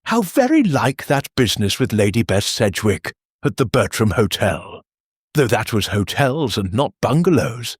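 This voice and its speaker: A man voicing a shrewd, mild elderly lady sleuth, musing and gently inquisitive, in an audiobook story. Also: mid-range voice, very animated, moderate pace, slightly rough, no echo, clean studio sound. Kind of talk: audiobook character dialogue.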